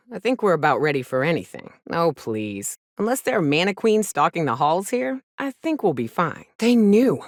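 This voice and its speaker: A woman's voice: mid-range voice, no echo, studio quality, lightly acted. An actress playing a jaded, hard-drinking frontier mercenary woman in a video game, blunt, sardonic and defiant, with salty phrasing. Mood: irritated, then angry. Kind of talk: video game character dialogue.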